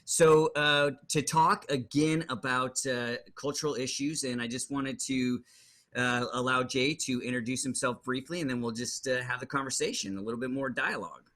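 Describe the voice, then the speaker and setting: A male podcast host with a bright, forward tone. He leads the conversation casually, his questions meandering with fillers and restarts. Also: mid-range voice, normal speed. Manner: rambling questions with many fillers.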